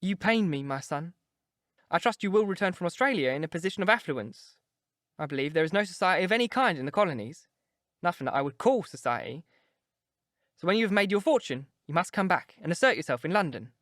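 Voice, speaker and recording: A man's voice: high voice, lively intonation, fairly quick, fairly bright tone, no echo, clean studio sound, voice pushed up. A man voicing a vain, mannered middle-aged actress who plays the mother. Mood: grand. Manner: affected, mannered.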